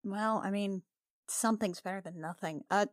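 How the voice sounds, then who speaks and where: A woman's voice: medium pitch, normal speed, dry recording, clean studio sound. A woman playing a tabletop game, improvising casually in character with a blunt, informal manner.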